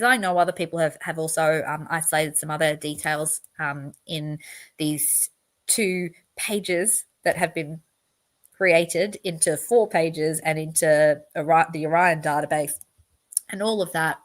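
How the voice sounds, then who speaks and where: A woman's voice: fairly low pitch, fairly bright tone. A woman hosting a true crime livestream, talking earnestly and at length with many fillers and restarts. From outside the US. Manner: earnest, rambling, emphatic. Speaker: true crime channel host. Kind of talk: solo livestream commentary.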